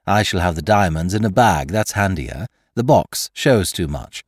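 A man voicing a triumphant, mocking gentleman thief in an audiobook, theatrical and commanding, firing off questions and taunts. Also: low-pitched, animated, moderate pace, no echo, clean studio sound. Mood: brisk, scheming. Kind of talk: dramatised audiobook character voice.

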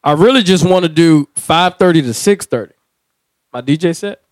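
A high-voiced man hosts like a DJ, hyped and slangy. His voice is clean and resonant, recorded close and dry, and he swears freely. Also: animated, moderate pace, no echo. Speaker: podcast host and DJ. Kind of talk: casual hype banter.

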